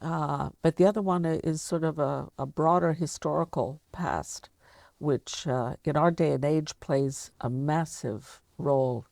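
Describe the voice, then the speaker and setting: An older woman with a Canadian accent, talking reflectively and deliberately as an interviewer in a sit-down conversation. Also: low voice, gravelly, a little mellow, dry recording, clean studio sound. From Canada, of Latvian descent. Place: furnished indoor lounge studio.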